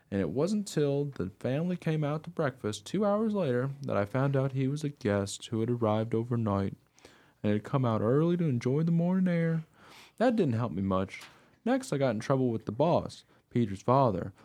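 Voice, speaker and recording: A man's voice: mid-range voice, warm tone, close to an everyday voice. A man playing an eager, naive mongrel dog who tells his own story in a radio play. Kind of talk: first-person radio play narration.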